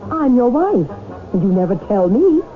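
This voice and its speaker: Exasperated society wife, tinny radio sound. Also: female voice, on the high side, big pitch swings, moderate pace. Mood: exasperated, probing. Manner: gushing, chiding, with darlings and hesitations. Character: earnest socialite wife, club president, fondly exasperated. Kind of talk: 1970s radio drama acting. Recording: tinny old radio broadcast, music behind.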